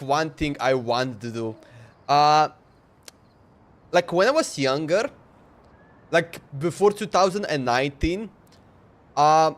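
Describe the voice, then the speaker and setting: A man chatting casually on a game livestream in an informal, slangy, repetitive way. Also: on the high side. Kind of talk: casual stream chat.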